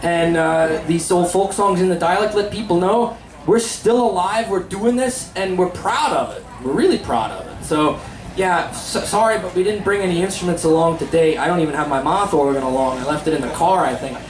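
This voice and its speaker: A man's voice: high voice, fairly quick, echoey room, background noise. A man hosting a live festival talk in a seminar tent, chatty and joking as he plugs his videos. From Pennsylvania, USA. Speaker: host and teacher.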